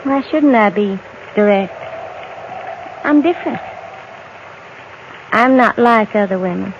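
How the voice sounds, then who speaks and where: A woman's voice: medium pitch, slow pace, a crowd in the background. An actress playing a proud, strange, intense young frontier woman with a direct, challenging manner, in an old radio western. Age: young adult. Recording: dull, noisy old radio recording.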